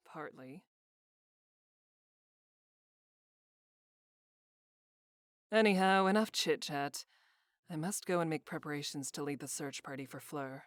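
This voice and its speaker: A sly, haughty lady from a visual novel, voiced by a woman. Her voice sits low for a woman, with arch, formal phrasing and a playful, teasing edge. The sound is close and dry. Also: dry recording, natural voice. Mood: amused, teasing. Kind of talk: visual novel character voice reading.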